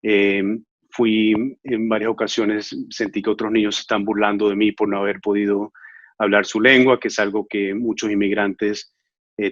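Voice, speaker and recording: A man from Venezuela in his thirties, an immigration lawyer answering interview questions in an earnest, measured way, with frequent filler sounds. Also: lowish voice, no room sound, highs cut off.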